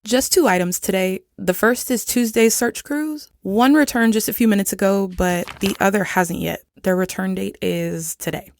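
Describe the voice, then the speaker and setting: A woman's voice: medium pitch, normal speed, gravelly, few pauses, slight background noise, lightly acted. An actress playing a businesslike female commission secretary, matter-of-fact, in an audio drama.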